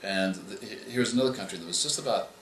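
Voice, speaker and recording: A man's voice: fairly low pitch, fairly bright tone, slight background noise. Man explaining in an echoey room. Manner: measured, explanatory, with frequent restarts. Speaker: author. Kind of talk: interview answers on writing craft. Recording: echoey room with faint ambience.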